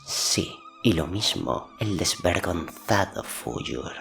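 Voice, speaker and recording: A sly storybook sorceress, acted by a man, speaks in a low, gravelly murmur that slips into breathy near-whispers. She is slow and deferential, insinuating as she goes, with faint music underneath. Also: wildly swooping pitch, fairly bright tone, exaggerated voice. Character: sly, servile female sorceress whispering poisonous counsel.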